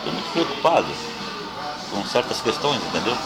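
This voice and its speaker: Southern brazilian guy, medium pitch, casual politics chat, really loud music in the recording. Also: very animated, moderate pace, creaky, rough recording. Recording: very loud music, outdoor vehicle noise.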